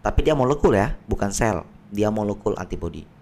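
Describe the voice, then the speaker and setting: A man, a doctor, explaining medical tests on a podcast in a patient, plain way with everyday analogies, his voice slightly hoarse. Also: fairly low pitch, lively intonation, fairly quick, a little mellow. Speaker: doctor guest. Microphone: podcast microphone.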